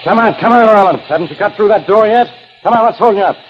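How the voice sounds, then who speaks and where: Male actor as sarcastic police inspector: higher-set gruff voice, impatient bark, 1940s radio acting, lo-fi sound. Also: fast talker, faint music behind, lightly acted. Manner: barking orders, wisecracking. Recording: dull, lo-fi 1940s radio recording.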